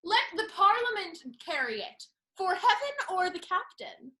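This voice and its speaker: Woman playing a high, bright, spirited young noblewoman. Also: very animated, normal speed, exaggerated voice, poor audio. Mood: cheeky defiant. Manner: theatrical, playful, spirited. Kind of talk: classical stage comedy acting.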